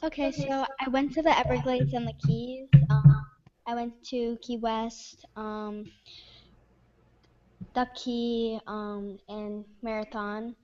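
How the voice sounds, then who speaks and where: A young woman guest giving brief, hesitant answers with many pauses. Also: fairly high pitch, little pitch movement, darkish tone. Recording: faint, distant, dull audio.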